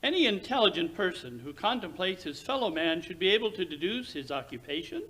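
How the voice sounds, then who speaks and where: Gentleman sleuth played by a man, radio drama: high-voiced, very animated, precise, condescending, theatrical, supremely confident. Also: brisk, heavily acted, rough recording. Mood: lecturing. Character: brilliant, self-assured Victorian English gentleman detective. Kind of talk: recreated 1940s radio drama acting.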